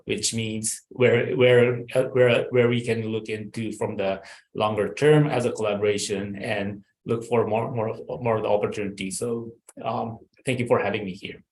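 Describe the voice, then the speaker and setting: A man with a slightly low voice speaks in a roomy, echoing space. He talks haltingly, repeating words and filling gaps with "um" and "uh" as he searches for the right phrasing. He introduces himself as a corporate innovation manager judging startups. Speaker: innovation manager at a construction firm, judge. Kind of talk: self-introduction at an online pitch event.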